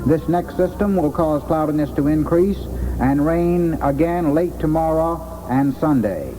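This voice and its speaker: A man's voice: medium pitch, mellow, poor audio. An American man reading a scripted weather forecast for an old radio broadcast. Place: airport weather office. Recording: old radio relay with faint noise.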